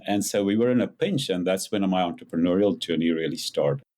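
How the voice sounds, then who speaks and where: A man's voice: low voice, brisk. Older South African man telling stories. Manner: animated storyteller, rises when acting out quotes. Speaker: guest. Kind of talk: casual interview storytelling.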